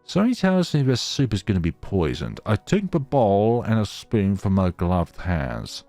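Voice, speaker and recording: A man's voice: fairly low pitch, sing-song, normal speed, warm tone, no room sound, soft background music. A man narrating a visual novel as a let's player, reading expressively and slipping into casual commentary.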